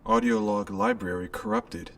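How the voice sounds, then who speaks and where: A man puts on an impersonal ship's log computer for a sci-fi audio drama. It announces in clipped, formal phrases, with heavy crackling fry and a slightly raised pitch, set a little far from the mic. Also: very animated, moderate pace, exaggerated voice.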